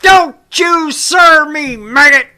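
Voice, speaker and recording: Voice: high-pitched, slow pace, sharp tone, voice pushed up, exaggerated voice. A performer voicing a stern, foul-mouthed tank instructor sergeant who lectures and barks insults like a drill instructor in a video game. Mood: furious. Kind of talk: military drill shouting and lecturing.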